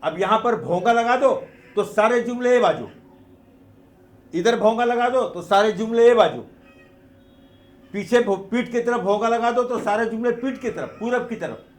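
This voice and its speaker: A man's voice: sits high, normal speed. A man with a Mumbai Hindi accent making an emphatic explanatory religious argument, posing rhetorical questions and repeating his points. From India. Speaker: activist and petitioner giving a speech. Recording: echoey, roomy sound.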